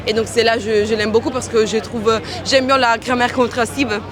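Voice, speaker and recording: A woman's voice: on the high side, fairly even intonation, normal speed, crowd noise. Young woman, hesitant. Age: young adult. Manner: hesitant, searching for words. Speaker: exchange student. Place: city street outdoors.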